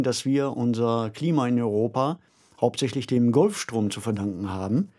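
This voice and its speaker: Man explaining in measured, didactic tones. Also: medium pitch, a little mellow. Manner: measured, didactic, emphatic on key words. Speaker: expert discussion partner on a podcast. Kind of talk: conversational explanatory talk.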